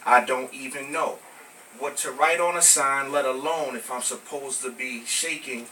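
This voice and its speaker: Bright-voiced American man performing spoken word, distant mic. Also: mid-range voice, steady tone, moderate pace. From Brooklyn, New York, United States. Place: live poetry venue with small audience. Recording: noisy, distant amateur recording of live venue.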